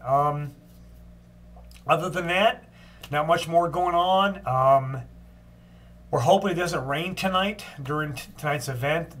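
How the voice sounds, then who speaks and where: A man's voice: fairly high pitch, fairly bright tone, slight background noise. Stuffed-up older man, casual video diary. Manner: plain, unhurried talk with frequent ums and restarts. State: stuffed up from a cold. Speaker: long-distance walker giving a daily update.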